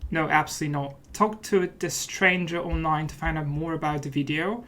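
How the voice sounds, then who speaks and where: A man's voice: on the high side, steady tone, a little fast, a bit bright. A man hosting a let's play, giving casual commentary in a playful, mock-serious manner.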